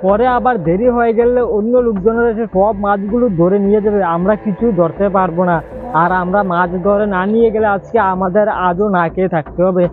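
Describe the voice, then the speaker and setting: A man playing an excitable, eager village fisherman in an amateur horror-comedy skit, exclaiming and repeating himself. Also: high voice, a little fast, lightly acted. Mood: urging. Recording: dull lo-fi sound with loud music.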